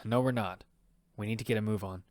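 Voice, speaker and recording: A man's voice: on the low side, fairly even intonation, brisk, a little mellow, no room sound, studio quality, lightly acted. A man playing a hot-tempered father who narrates his own battle-royale survival story in an amateur audio drama. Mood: cautious. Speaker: narrator and lead actor. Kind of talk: first-person narration and dialogue in amateur audio fiction. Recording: background music under the voice.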